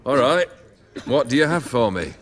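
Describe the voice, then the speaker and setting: A man's voice: mid-range voice, lively intonation, normal speed, close to an everyday voice. An actor playing a playful, bossy man with sly charm in a video game, teasing in a drawl. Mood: breezy command. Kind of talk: dramatic video game acting.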